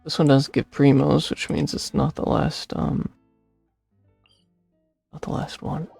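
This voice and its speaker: A man with a gravelly, husky voice, a game streamer who reads story text and comments casually into a close mic. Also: fairly high pitch, level tone, measured pace, a little mellow, no echo, soft background music.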